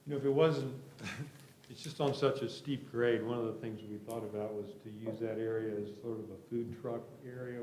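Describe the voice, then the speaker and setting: A man speaks in a low, fairly even voice, explaining plans informally and tentatively. The sound is roomy and echoey, with faint noise behind him. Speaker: project applicant presenting a development plan. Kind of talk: informal explanation at a public meeting.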